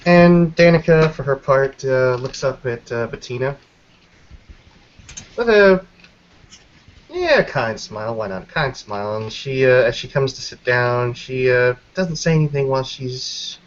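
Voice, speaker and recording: A man hosting and narrating a tabletop roleplaying game as game master, in a measured, formal storytelling manner. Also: mid-range voice. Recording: slightly dull lo-fi mic.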